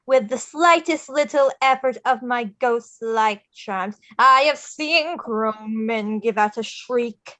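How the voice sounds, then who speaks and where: A woman acting a wistful, theatrical character in an animated film table read. The voice is very high and unhurried. The recording is dry and close. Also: dry recording. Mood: boastful. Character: wistful stitched-together rag doll young woman and weary skeleton king.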